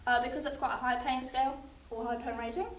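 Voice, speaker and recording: A young woman in a paramedic practical exam, calmly talking a patient through each step while losing her voice. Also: high voice, quick pace. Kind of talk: narrating a clinical skills demonstration. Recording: echoey, distant, noisy room.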